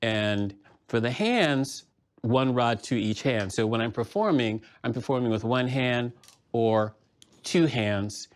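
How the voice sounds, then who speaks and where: An older man from Washington, D.C., with an American accent, explaining his work in a measured documentary interview style. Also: medium pitch. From Washington, D.C., United States. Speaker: puppeteer, artist, museum curator.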